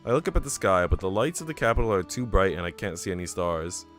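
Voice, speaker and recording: A man's voice: deep voice, sing-song, quick pace, a bit bright. A man reading a visual novel aloud as an earnest, reflective young woman who hosts a radio show. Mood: self-critical, uneasy. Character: earnest, self-doubting young woman radio host in love. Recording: music behind the voice.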